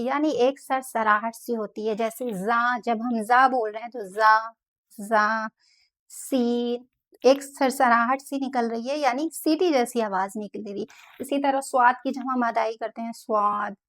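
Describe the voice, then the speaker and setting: Lady teacher, slightly high voice, slows down and repeats each letter sound for practice. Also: fairly bright tone. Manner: slow, careful demonstration of letter sounds with repetition. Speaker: Quran pronunciation teacher. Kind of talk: step-by-step tajweed lesson.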